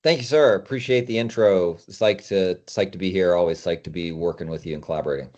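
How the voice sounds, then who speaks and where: A man's voice: deep voice, a little fast, a little mellow, lo-fi sound. A middle-aged man speaking as a podcast guest, explaining things in an informal, conversational way. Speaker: podcast guest, industry consultant.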